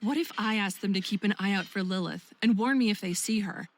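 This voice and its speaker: A woman's voice: mid-range voice, brisk, slight background noise, natural voice. A woman playing a caring, protective young best friend in a visual novel game, earnest and emotive. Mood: eager, planning.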